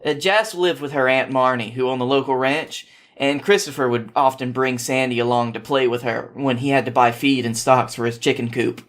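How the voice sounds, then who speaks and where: A man's voice: mid-range voice, steady tone, moderate pace, a bit bright. A man reading a story aloud in an informal way, now and then stumbling over a word. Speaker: podcast host reading fan fiction.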